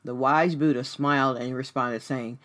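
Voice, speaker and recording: A woman's voice: a bass voice, steady tone, moderate pace. A woman hosting a radio show as a life coach, speaking earnestly and directly to the listener in a scripted but conversational motivational style. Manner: earnest, direct address to the listener with rhetorical questions.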